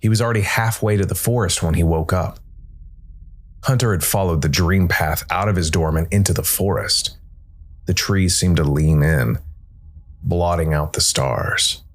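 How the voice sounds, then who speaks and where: A man hosting and narrating a scripted story podcast in a measured way, with dramatic pauses. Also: sits low. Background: soft music bed.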